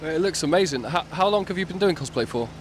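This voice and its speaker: A man's voice: on the high side, lively intonation, fast talker, background noise. A man interviewing people at a convention, polite and brief, thanking each guest. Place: busy convention hall.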